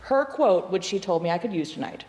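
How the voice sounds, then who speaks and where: A woman's voice: fairly low pitch, animated, a little fast, dark tone, big-room sound, slight background noise, low-quality recording. A woman on a panel speaking in a chatty, self-deprecating way, slipping jokes into her answer.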